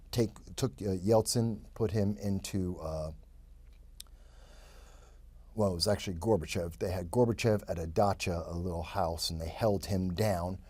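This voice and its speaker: A man in his fifties from New York, a guest telling his life story in a lively, rambling way full of quick asides. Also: low-pitched, breathy edge, dry recording. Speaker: interview guest. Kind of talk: casual interview storytelling.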